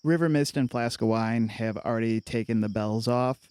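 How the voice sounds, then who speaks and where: A man's voice: medium pitch, normal speed, darkish tone, smooth, no echo. A man playing a tabletop game, casually narrating what his characters do.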